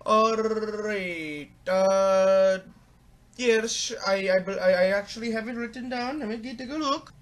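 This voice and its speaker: A man's voice: sits high, slow pace. A young man putting on an Indian accent as a pestering, needy tech support caller in a prank call. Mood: hesitant. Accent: put-on Indian. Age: young adult. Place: small home bedroom, indoors.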